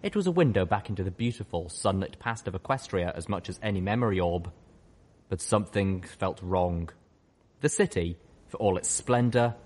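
A man reading a dramatic scripted story as an audiobook narrator. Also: deep voice. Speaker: audiobook reader.

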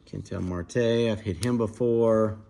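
A man casually commenting to viewers while opening trading card packs at a dining table at home, in a relaxed, chatty way. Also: lowish voice, measured pace, gravelly, a little hiss or hum. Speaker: card breaker, channel host.

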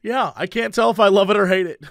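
A man co-hosting a casual podcast, hoarse from losing his voice, joking around and breaking into silly sung bits. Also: high voice, quick pace, slight background noise. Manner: goofy, sings and shouts bits, jokey asides. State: hoarse, lost voice. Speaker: podcast co-host.

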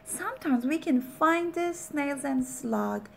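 Woman teacher, reading slowly to a kid, very up and down, patient. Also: sits high, lively intonation, gravelly. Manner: patient, prompting and repeating simple phrases. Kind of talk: read-aloud lesson with a young child.

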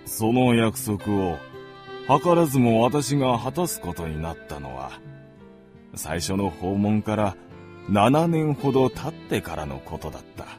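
A man playing a gentlemanly visitor who narrates his recollections in a literary radio drama. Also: on the low side, big pitch swings, a little slow, soft background music. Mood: reflective.

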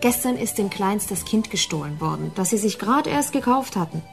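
An actress playing a frank, informal woman in a radio drama, talking in a colloquial, halting way. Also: mid-range voice, animated, vocal fry, soft background music. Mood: candid, persuasive. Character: frank, informal woman appealing to a detective colleague.

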